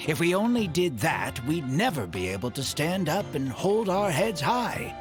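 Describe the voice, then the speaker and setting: An actor in a video game playing an earnest, proud man of the desert, speaking in formal, measured phrases. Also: on the high side, very animated, over music. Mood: solemn, earnest. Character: earnest, proud desert-dwelling man, elderly or ailing, apologetic.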